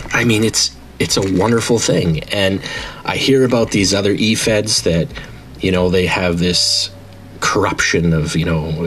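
A man in his late thirties with a very deep voice speaks in a casual, rambling monologue. He sounds eager and proud, often restarting his sentences. A faint hiss sits under the recording. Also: fairly bright tone. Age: 30s. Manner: enthusiastic, rambling, many restarts. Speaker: founder and owner of an online wrestling league.

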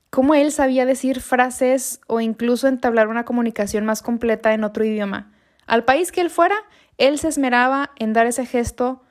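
A young woman, telling a personal story in an earnest, reflective vlog monologue with unhurried pauses. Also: a little high, a little mellow. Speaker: vlogger.

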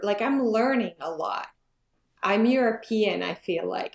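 A woman in her forties from Finland, a yoga business owner, talking casually as an interview guest and thinking aloud with many fillers. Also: medium pitch. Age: 40s. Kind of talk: casual conversation. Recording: echoey room.

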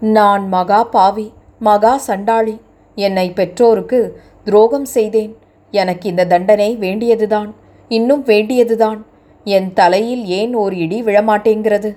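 A woman's voice: medium pitch, fairly even intonation, unhurried, warm tone, close to an everyday voice. A woman voicing a grieving young woman. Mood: sorrowful. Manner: sorrowful, pleading. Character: distraught young woman, weeping and remorseful.

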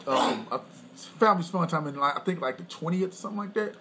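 A high-pitched man chatting on a home podcast. He rambles and brags, clears his throat now and then, and a faint hiss sits under the recording. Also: expressive, fast, sharp tone. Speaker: podcast co-host. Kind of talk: casual chat.

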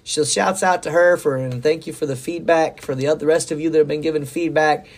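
Man, middle-aged, hoarse, slightly high voice, folksy rambling pastor talk. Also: steady tone, fairly quick. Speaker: pastor and podcast co-host. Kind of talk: casual faith talk.

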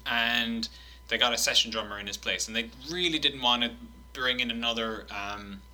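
Man, bright forceful voice, casual podcast banter, animated quote reading. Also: mid-range voice, normal speed, low-quality recording. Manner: animated, swearing, laughing while reading quotes.

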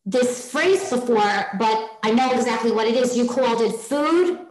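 Woman in her 60s, podcast host, enthusiastic and effusive, higher voice, roomy echo. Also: expressive, moderate pace, darkish tone. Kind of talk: casual interview chat.

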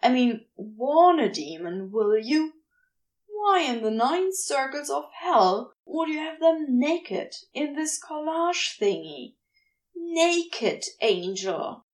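A sardonic, teasing male demon , played by a woman reader, wily but affectionate. The delivery is casual and unhurried, with animated inflection, as in an audio story. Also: sits high, heavily acted. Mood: appalled, sardonic. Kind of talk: dialogue in a fan fiction audio reading.

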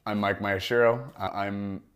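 A man's voice: on the low side, flat delivery, moderate pace, fairly bright tone, smooth. A man in his thirties hosting a podcast, speaking casually as he introduces things. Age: 30s. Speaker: podcast host.

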